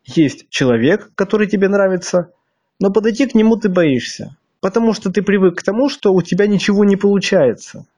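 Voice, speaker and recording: A man's voice: high-pitched, lively intonation, brisk, a little mellow. A man with a slightly stuffy nose delivering a blunt, crude monologue to his viewers, hammering them with rhetorical questions. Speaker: video blogger.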